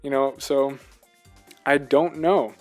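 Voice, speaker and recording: A man co-hosting a casual video game discussion, talking in an animated, rambling way and repeating himself for emphasis. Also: medium pitch, slow pace, faint music behind. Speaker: podcast co-host.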